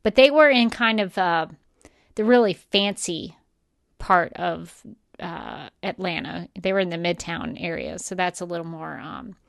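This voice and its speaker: A woman's voice: mid-range voice, creaky, clear, resonant tone, dry recording, studio quality. A woman co-hosting a podcast in a casual, chatty and emphatic way, often acting out imagined remarks. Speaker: podcast co-host. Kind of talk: casual chat.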